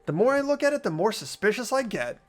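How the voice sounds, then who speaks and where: A man playing an earnest, hesitant, anxious high school boy in an amateur video game dub, narrating and speaking in character. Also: very animated, fast talker. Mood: tense. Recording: music behind the voice.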